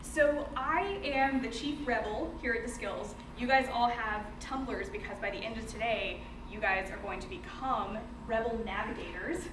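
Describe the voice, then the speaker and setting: A woman in her twenties with a fairly high voice leads a workshop in a chatty, informal way. She is picked up at a distance in an echoey room, with clear noise behind her. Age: 20s. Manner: chatty, informal, often laughing. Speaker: workshop facilitator. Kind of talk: informal live presentation to a group. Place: indoor room with a group, recorded off the mic.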